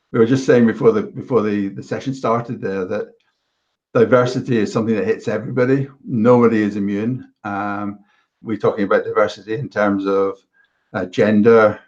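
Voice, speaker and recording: Low-voiced host, muffled lo-fi audio. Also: male voice. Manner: measured, deliberate, halting. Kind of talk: interview chat.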